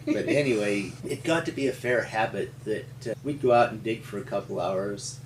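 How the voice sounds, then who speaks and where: An older man from rural Montana with an American accent, telling his story in an interview in a halting, folksy, explanatory way. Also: lowish voice. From rural Montana, United States. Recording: roomy, distant mic with faint hum.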